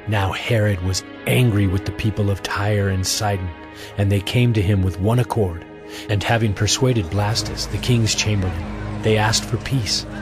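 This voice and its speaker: A man giving a scripted, solemn and measured narration for an audio drama of scripture. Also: fairly low pitch.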